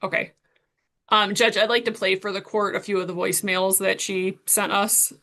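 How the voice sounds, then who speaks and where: Woman, prosecuting attorney, bright mid-pitched voice, formal procedural courtroom questioning. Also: flat delivery, normal speed.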